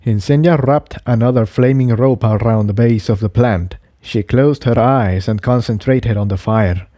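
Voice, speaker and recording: A man narrates an adventure story as a scripted reading. His voice is mellow and relaxed, and the recording is close and dry. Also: medium pitch, moderate pace, dry recording. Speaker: audiobook reader of fan fiction.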